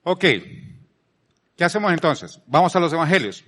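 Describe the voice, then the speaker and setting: A man's voice: a little high, lively intonation, moderate pace, fairly bright tone. A man from Colombia with a Colombian accent, giving a conversational sermon as a preacher, repeating phrases for emphasis and posing rhetorical questions. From Palmira, Colombia.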